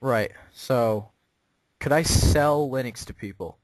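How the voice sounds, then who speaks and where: A man on a phone call as a customer, asking naive-sounding questions in a deadpan way. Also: mid-range voice, slow pace, dry recording. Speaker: prank caller posing as a customer.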